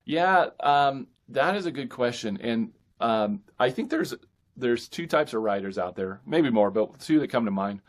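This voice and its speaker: A man speaking as an author guest in a casual interview, with halting, thoughtful phrasing. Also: mid-range voice, lively intonation.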